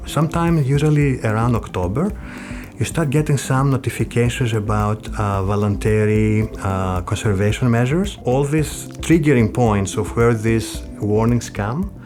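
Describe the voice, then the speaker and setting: A middle-aged man from Greece with a Greek accent, a professor explaining his research in an interview. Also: fairly low pitch. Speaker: engineering professor. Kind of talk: explanatory interview talk about own research. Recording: music bed under the voice. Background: background music.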